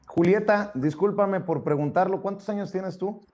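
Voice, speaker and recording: Mexican guy hosting a politics show, slightly high voice, casual wry chat. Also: moderate pace, a little mellow. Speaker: interview host.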